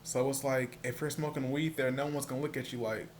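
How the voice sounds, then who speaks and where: A man with a soft voice, stuttering and repeating words as he talks casually to the camera in a personal vlog. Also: medium pitch, level tone, a little hiss or hum. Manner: stuttering, halting with many repeated words and fillers. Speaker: vlogger.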